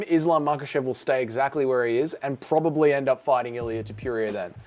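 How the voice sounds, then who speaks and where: A brash middle-aged man chats on a live stream, sarcastic and quick to swear as he reads out viewer comments. His voice sits a little high for a man, with faint music playing behind him. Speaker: streamer reacting to chat. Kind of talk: casual livestream chat.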